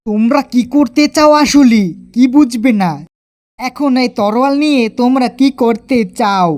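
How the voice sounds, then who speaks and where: A woman's voice: fairly high pitch, moderate pace, a little mellow, pitched above the performer's own voice, close to an everyday voice. A woman voicing a poor, weary male farmer who talks to himself in an anxious, complaining way in a cartoon story. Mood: alarmed. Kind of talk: cartoon story character dialogue.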